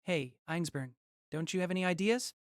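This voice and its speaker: A man's voice: high-pitched, clean tone, no echo, studio quality, lightly acted. Man playing an unsure young male apprentice mage. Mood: anxious, impatient. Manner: hesitant, halting.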